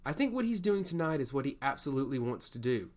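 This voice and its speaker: Man as thoughtful young princess, fantasy audiobook, earnest, composed, animated pitch. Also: fast talker, natural voice. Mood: reflective.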